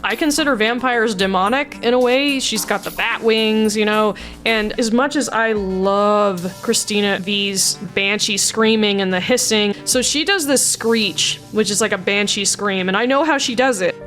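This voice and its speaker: A woman's voice: mid-range voice, moderate pace, a bit bright. A woman giving casual, candid, self-critical behind-the-scenes commentary as a video creator. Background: background music.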